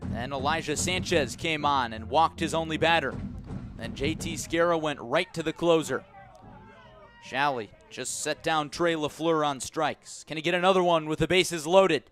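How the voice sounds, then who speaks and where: A man doing live radio baseball play-by-play as the announcer, with excited calls on the big plays. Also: a little high, normal speed, over music. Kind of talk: live sports commentary.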